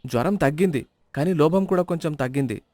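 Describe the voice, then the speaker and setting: A man's voice: on the high side, brisk, dark tone, dry recording, close to an everyday voice. A man doing Lokanatham, a stingy village miser. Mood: rueful. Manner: whiny, counts every rupee. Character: stingy middle-aged village man, penny-counting, comic. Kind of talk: storybook voice acting.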